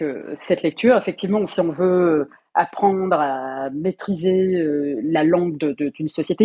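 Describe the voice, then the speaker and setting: A woman's voice: lowish voice, dark tone, slightly rough. A woman speaking as a panelist in a current affairs radio discussion, choosing her words carefully and with some hesitation. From came to Quebec at seven, of Moroccan and Sri Lankan descent. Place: radio studio.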